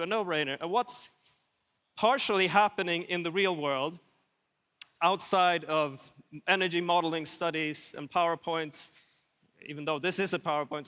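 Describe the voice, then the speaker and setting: A man presents to a conference in a high, clean voice. His delivery is casual and dryly wry, and the sound rings with the long reverb of a large hall. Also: pushed and bright. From Sweden. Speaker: conference speaker.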